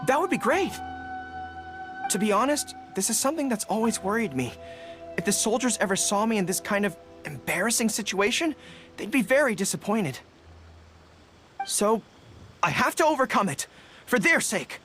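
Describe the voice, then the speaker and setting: A woman's voice: medium pitch, big pitch swings, moderate pace, pauses often, fairly bright tone. A woman voicing an earnest, easily flustered young dog-eared general in a video game. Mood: earnest, hopeful. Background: music.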